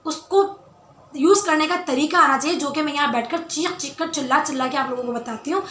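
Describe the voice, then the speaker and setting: High bright female voice, loud, emphatic, insistent, casual beauty vlogger, echoey room. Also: brisk. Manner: emphatic, insistent, repeats phrases for stress. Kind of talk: casual skincare Q&A talk to viewers. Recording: roomy, echoey indoor sound.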